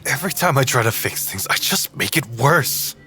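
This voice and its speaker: A man playing a big, earnest, lovelorn tree being, despondent and drunk, speaking in a brooding, halting way in an audio drama. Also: medium pitch, lively intonation, sharp tone. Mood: despairing, stubborn. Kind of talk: emotional audio drama acting. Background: music.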